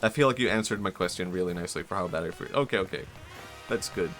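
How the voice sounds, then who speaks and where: A young man chats live in a very low voice, rambling and hesitant, full of fillers and restarts. He pokes fun at himself as he goes. Faint music plays under his voice. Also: fast talker. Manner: self-mocking, laughs softly. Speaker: streamer. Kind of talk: casual live-stream chat.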